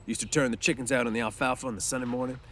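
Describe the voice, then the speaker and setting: A man's voice: mid-range voice, steady tone, rapid-fire, fairly bright tone, slight background noise, natural voice. A man voicing a lonely, bitter, scornful stable hand in a storybook, with folksy, ungrammatical ranch speech. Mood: dreamy.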